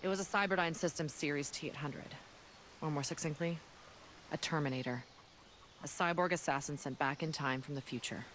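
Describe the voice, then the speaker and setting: An actor plays a battle-hardened resistance fighter from an apocalyptic future in a video game cutscene. The delivery is earnest, solemn and deliberate. Ambient noise is clearly audible behind the voice. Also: mid-range voice, fairly bright tone, lightly acted. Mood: grave, resolute.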